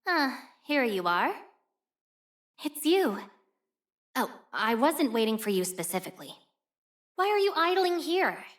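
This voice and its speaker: A woman's voice: high-pitched, sing-song, measured pace, long pauses, a bit bright, heavily acted. A woman voicing a proud, blunt young female fire warrior for a video game, with cool, cocky, nonchalant delivery. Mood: teasing, casual. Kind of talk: scripted game character voice lines.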